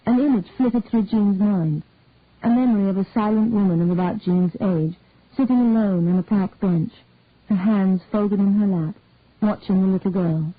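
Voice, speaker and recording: A woman reads a detective story aloud at a measured storytelling pace, her voice slightly husky and mid-pitched. The recording is narrow and phone-like, and dry with no room sound. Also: dry recording. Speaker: narrator. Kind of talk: scripted story narration.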